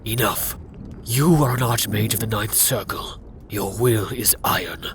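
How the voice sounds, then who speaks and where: A man narrating a scripted second-person fantasy story for ASMR in a hushed, intimate, dramatic way. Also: fairly low pitch, measured pace, noisy background.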